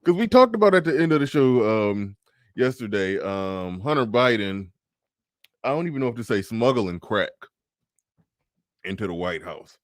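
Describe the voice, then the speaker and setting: Laid-back low-voiced man, dry close sound. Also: big pitch swings, normal speed, long pauses, no echo. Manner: laid-back, laughs often, drawls. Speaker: livestream host. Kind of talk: casual livestream chat reading comments.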